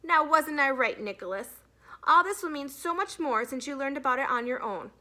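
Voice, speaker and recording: A woman's voice: high-pitched, lively intonation, moderate pace, sharp tone, voice pushed up. A woman voicing a stern, authoritative female schoolteacher in a storybook, lecturing with emphasis. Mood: pointed praise.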